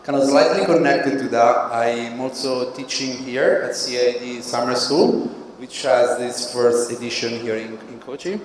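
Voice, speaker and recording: A man with an Italian accent speaks in a measured, deliberate way, pausing between phrases as he introduces himself to the audience. The sound is very roomy, with long reverb from a large hall. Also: medium pitch. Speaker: panelist. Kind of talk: panel introduction.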